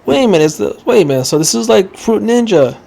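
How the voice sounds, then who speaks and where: A man's voice: high-pitched, expressive, quick pace, gravelly, warm tone, slight background noise. A man reacting casually while playing a game, slangy and given to swearing.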